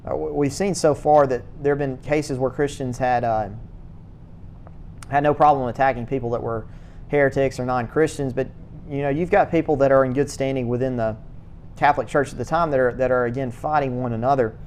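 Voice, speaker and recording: A man lecturing on church history in a classroom, his pitch sitting in the middle range. He talks haltingly, repeating words and stopping for uh and um as he thinks. A faint low rumble hums behind him. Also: steady tone, a little mellow.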